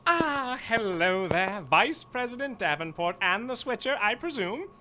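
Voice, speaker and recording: A man's voice: high voice, big pitch swings, unhurried, sharp tone, full character voice. A man playing an eccentric, gleeful mad-scientist doctor in an audio drama, florid, morbid and grandiose. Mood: grandly pleased.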